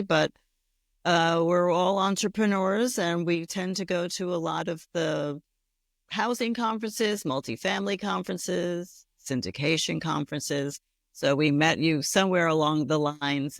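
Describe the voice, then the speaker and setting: A woman's voice: on the low side, measured pace, no echo, studio quality. A woman co-hosting an interview show, asking questions in a friendly, conversational way. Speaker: podcast co-host.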